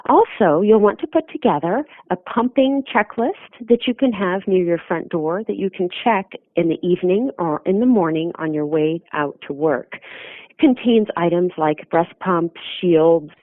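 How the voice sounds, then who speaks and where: A woman gives steady, instructional advice as a lactation consultant. Her voice sits fairly low. It comes through dry and narrow, like a phone line. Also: moderate pace, dry recording. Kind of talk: scripted advice segment.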